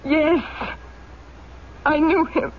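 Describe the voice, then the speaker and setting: An actress playing a frightened, desperate, ailing young mother in an old-time radio drama, halting and pleading. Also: high voice, steady tone. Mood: cowed, reluctant. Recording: dull, noisy old radio broadcast.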